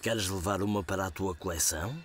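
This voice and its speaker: A man's voice: low voice, brisk, heavy background noise, lightly acted. An actor playing a kind, playful elderly grandfather in a cartoon dub, with a European Portuguese accent. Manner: gentle, encouraging.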